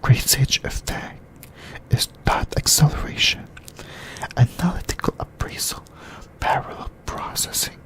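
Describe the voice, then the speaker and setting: Whispered voice, ASMR discussion host, breathy, very slow pace, halting short phrases. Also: fairly bright tone. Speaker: host of an anime discussion channel.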